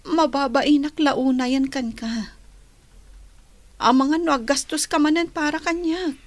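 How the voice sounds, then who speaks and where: A woman's voice: sits high, steady tone, unhurried, no room sound. A woman playing a naive young niece with a Filipino accent in a radio drama, exclaiming and deferential. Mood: shy, curious. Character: naive, easily astonished young niece short of money.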